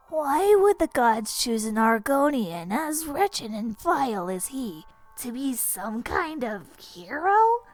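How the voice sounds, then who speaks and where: A brooding talking flower acted by a woman from an amateur audio drama, slow and melodramatic, with music clearly behind. The voice sits a little high and treats every sentence as tragic heroic narration. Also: exaggerated voice, rough recording. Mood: anguished. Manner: melodramatic, slow, deliberate delivery.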